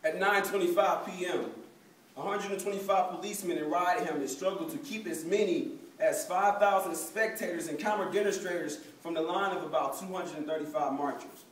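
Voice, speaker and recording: A formal reporter gives a factual account read by a young man on stage. His voice is high for a man and comes from a distance in an echoey room. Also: fairly quick, natural voice. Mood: grave reporting. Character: formal reporter recounting a battered march. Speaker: student narrator. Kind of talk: formal factual report within dramatic reading.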